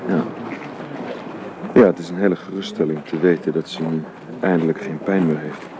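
An actor playing a gentle, hesitant, recently widowed father in a radio play, grieving but tender with his child. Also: a bass voice, fairly even intonation. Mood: subdued. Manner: hesitant, halting. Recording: radio play recording with faint noise.

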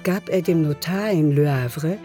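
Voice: medium pitch, unhurried, warm tone. Literary radio narrator over music.

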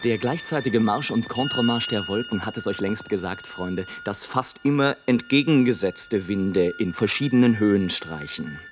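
An actor playing a rapturous, scornful airship balloonist in a theatrical, declamatory radio play monologue, swinging between grand exultation and hush. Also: male voice, fairly low pitch, animated, moderate pace, few pauses, background noise, close to an everyday voice. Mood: musing, confiding. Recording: studio radio play with music.

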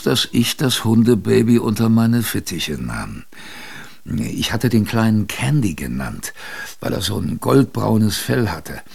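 A man playing an older adventurer who recalls his youth in a creaky voice, with wistful, conversational radio drama narration. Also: lowish voice, a little mellow, full character voice. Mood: reflective. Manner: conversational, wistful, reflective storytelling.

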